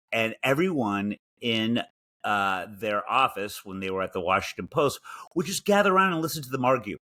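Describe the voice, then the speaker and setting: A man's voice: mid-range voice, pushed and bright. A man with a Chicago accent and a nasal voice, co-hosting a podcast in dry, self-mocking, rambling banter. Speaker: podcast co-host. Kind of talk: casual banter.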